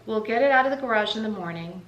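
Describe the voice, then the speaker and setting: A woman's voice: medium pitch, lively intonation, fast, fairly bright tone, lo-fi sound, voice pushed up, close to an everyday voice. A woman voicing an anxious, exasperated young boy, a big brother, in a children's book read-aloud. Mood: evasive, reassuring. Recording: roomy, noticeable background hiss.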